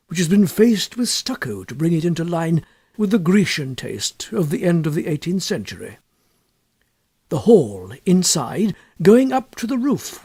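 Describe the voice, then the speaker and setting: Male audiobook narrator for ghost stories: husky, genteel and reflective, very varied intonation, recorded close and dry. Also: a little high, a little mellow, no room sound. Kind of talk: literary ghost story narration.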